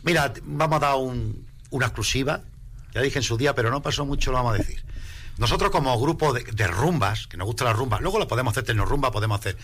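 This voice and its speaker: A man's voice: medium pitch, fast, fairly bright tone, slightly rough. A man from Spain with a Spanish accent, a singer giving a casual radio interview in an emphatic way, repeating his phrases. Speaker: singer in a rumba band.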